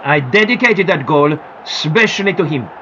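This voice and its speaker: A woman voicing a cheerful, proud male football star in a storybook, using a lower, relaxed put-on voice. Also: normal speed, muffled recording, slight background noise. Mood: pleased.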